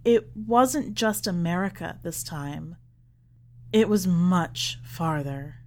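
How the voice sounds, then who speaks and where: A woman reading literary fiction aloud as scripted narration, with measured, deliberate phrasing. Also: lowish voice, a little mellow. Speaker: podcast host reading a short story.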